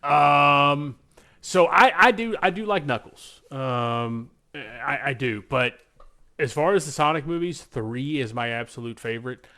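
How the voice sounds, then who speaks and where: Bright, forceful male podcast co-host. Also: fairly high pitch, measured pace. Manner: informal, emphatic, slangy. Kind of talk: casual chat, picking movies in a bracket game.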